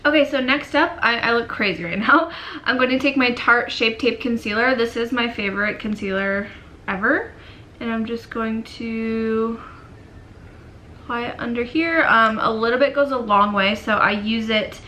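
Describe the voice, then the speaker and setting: A young woman in her twenties with a cold, chatting casually through a makeup tutorial in a dim bedroom, often trailing off into quiet murmurs. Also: on the high side, normal speed, slight background noise. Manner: chatty, filler-heavy, drifts into quiet murmurs while working. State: sick and sniffly. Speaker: beauty vlogger.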